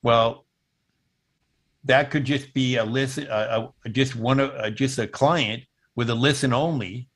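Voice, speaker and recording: A man in his seventies giving an explanatory technical demo over a video call, often pausing mid-sentence as he goes. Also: medium pitch, fairly even intonation. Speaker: presenter demonstrating intercom software. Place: indoor room at home, on a video call.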